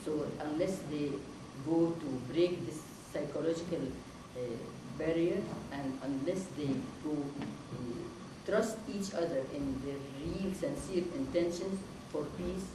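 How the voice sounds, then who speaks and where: A low-voiced woman from Palestine speaks as a panelist, halting and earnest, with many pauses and fillers. She sounds far from the mic in a very echoey theatre hall, with noise under her voice. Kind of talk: panel discussion statement.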